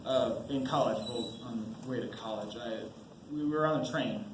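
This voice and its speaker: A teenage boy acting an anxious, halting young soldier in an amateur stage play. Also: medium pitch, natural voice. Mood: tense, subdued. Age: teens. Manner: halting, stammering, nervous. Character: anxious young army sergeant trapped on a mine. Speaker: high school student actor. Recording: roomy, noisy school stage recording.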